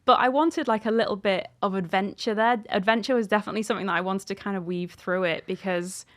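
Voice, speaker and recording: A woman's voice: mid-range voice, fast. A woman in her thirties, an author chatting casually and animatedly in an interview, in an informal way. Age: 30s.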